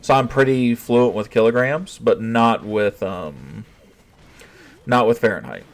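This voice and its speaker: A man's voice: mid-range voice, a little slow, vocal fry. Guy streaming and chatting with viewers, casual and rambly, keeps restarting sentences. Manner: chatty, reads chat aloud, often restarts and thinks out loud. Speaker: streamer answering live chat. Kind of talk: casual livestream chat.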